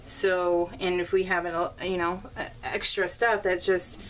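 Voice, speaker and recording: A woman's voice: lowish voice, flat delivery, measured pace. Chatty American woman, slightly distant mic. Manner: rambling, conversational, giggly. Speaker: crafting video host. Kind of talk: casual chatty vlog voiced in real time. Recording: slightly distant mic, faint room noise.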